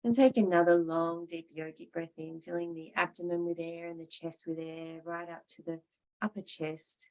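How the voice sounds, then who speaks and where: A woman teaching yoga and guided meditation, calm and measured, leaving pauses between short phrases. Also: fairly low pitch, low-quality recording.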